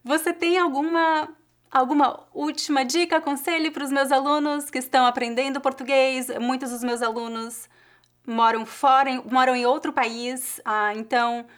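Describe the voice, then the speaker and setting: Encouraging woman teacher hosting with higher voice. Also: fairly even intonation, moderate pace, a bit bright. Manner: friendly and encouraging, with frequent "né?" tags. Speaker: language teacher and channel host. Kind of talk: interviewing and closing a video.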